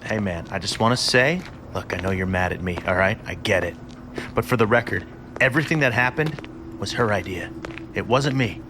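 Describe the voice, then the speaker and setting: Man acting a cocky, low-voiced rebel. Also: fairly quick, background noise, lightly acted. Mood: placating. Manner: casual, smirking, chatty. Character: Caleb, cocky, sarcastic male rebel escort.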